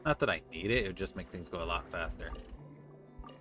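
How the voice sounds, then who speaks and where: A man's voice: low-pitched, quick pace, over music. A man giving casual live game commentary to his chat, with a playfully exasperated, exclamatory manner. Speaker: game streamer.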